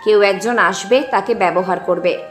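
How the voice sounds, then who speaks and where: A woman's voice: mid-range voice, normal speed. A woman narrating a scripted dramatic story as its storyteller. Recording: roomy echo with music underneath.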